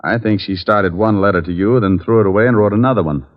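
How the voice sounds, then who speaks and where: A man's voice: fairly low pitch, fast talker, lightly acted. Wry private eye on muffled old radio played by a man. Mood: probing. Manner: dry, glib, wisecracking. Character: wry, wisecracking private detective, adult man. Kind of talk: 1950s radio acting with hard-boiled narration.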